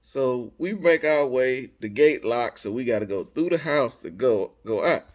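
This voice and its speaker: Animated American man telling stories. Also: mid-range voice, measured pace. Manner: animated, slangy, acts out quoted dialogue. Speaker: storyteller, host of a personal story series. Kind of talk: casual personal storytelling.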